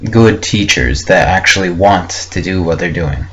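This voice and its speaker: A young man speaks in a low-pitched voice, as if keeping a personal audio log. He thinks aloud in halting, reflective fragments, casual and unscripted. The recording is dull and lo-fi, with faint noise behind him. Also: expressive, a bit bright. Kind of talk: casual unscripted rambling monologue. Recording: muffled lo-fi with faint background noise.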